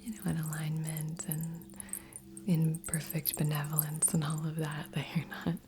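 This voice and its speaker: A woman speaking in a soft, breathy whisper as a gentle, soothing host of an ASMR guided meditation. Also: low voice, little pitch movement, moderate pace. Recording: soft music under voice. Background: background music.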